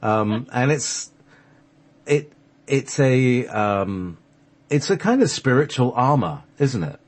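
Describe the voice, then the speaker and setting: A man's voice: mid-range voice, big pitch swings, slow. A man hosting a radio show, telling stories casually in a rambling, hesitant, jokey manner. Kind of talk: casual conversational storytelling.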